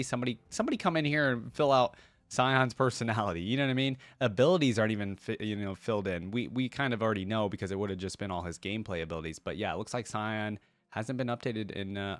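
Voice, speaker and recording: A man's voice: lowish voice, no room sound. A man hosting a reaction video, talking casually and informally in chatty commentary with exclamations.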